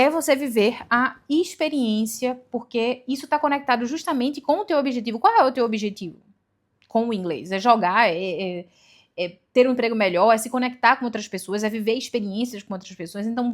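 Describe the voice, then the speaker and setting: A young woman, giving casual advice to camera in a chatty way, with rhetorical questions and self-corrections. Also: a little high. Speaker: vlogger giving language-learning tips.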